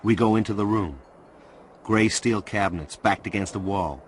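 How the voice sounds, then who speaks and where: An actor playing a watchful, brooding hacker who narrates a radio drama in a hushed, terse, intense first person. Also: male voice, lowish voice, moderate pace, slight background noise, close to an everyday voice. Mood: intent, awed.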